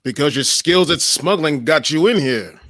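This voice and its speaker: A man's voice: a little high, expressive, moderate pace, fairly bright tone, no room sound. A man playing a suave, irritable smuggler and ex-hero in a comedic script read. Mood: irritated, dry. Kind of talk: comedic live table read of a film script.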